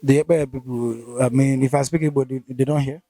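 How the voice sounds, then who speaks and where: Nigerian man, mellow probing interviewer. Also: mid-range voice, steady tone, brisk, no room sound. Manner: probing, repeats answers back, mixes Pidgin and Igbo. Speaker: interviewer and channel host. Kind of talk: field interview, casual questions.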